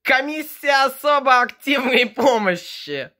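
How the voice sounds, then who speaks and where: A young woman talks casually into her phone at home, introducing songs one by one. Her voice sits a little high and she takes her time. The tone is relaxed and chatty. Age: young adult. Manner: unhurried, chatty, introducing each song. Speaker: video blogger. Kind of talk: casual chat. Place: room at home, beside a television.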